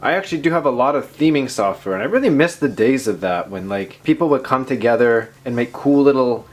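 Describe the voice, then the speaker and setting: A man's voice: mid-range voice, lively intonation, fairly quick. A man hosting a casual tech and gaming vlog, talking informally with slangy, self-deprecating commentary. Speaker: video host. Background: background music.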